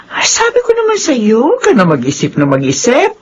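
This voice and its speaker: An actress playing a brisk, reassuring Filipino household confidante in a radio drama, chiding in an animated way. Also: female voice, a little high, slightly rough, dull audio, full character voice. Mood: fond chiding.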